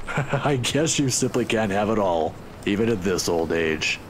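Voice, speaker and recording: A genial older male professor with a low, very lively voice. He is polite and inquisitive, teasing gently in the manner of a video game character. There is some faint noise in the recording. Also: a little slow. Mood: fond, teasing.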